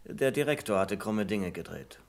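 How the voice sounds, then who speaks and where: Bank clerk in a radio play, lowish voice, respectful yes-sir type but plain-spoken. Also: male voice, animated, moderate pace. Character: loyal, blunt male bank clerk, respectful to his boss. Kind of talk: German radio play acting.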